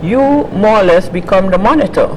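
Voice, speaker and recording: A man's voice: sits high, fairly quick. A man advocating natural healing, explaining his views steadily in an interview. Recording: noisy background.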